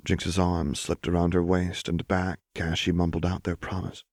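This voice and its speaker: A male audiobook narrator with a deep, husky voice. He reads fiction softly and gravely, with open emotion. The recording is close and dry, free of room sound. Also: monotone, normal speed, no room sound.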